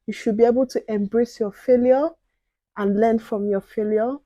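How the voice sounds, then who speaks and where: A soft-spoken young woman chatting casually to viewers while doing her makeup. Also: fairly high pitch, fairly even intonation, normal speed, dry recording. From outside the UK. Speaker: beauty and advice vlogger.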